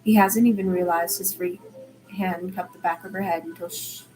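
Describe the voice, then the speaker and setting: A woman's voice: fairly low pitch, fairly even intonation, normal speed, mellow, faint music behind, rough recording. A woman reading a story aloud in audiobook style, occasionally stumbling and restarting her phrases. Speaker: fan fiction reader.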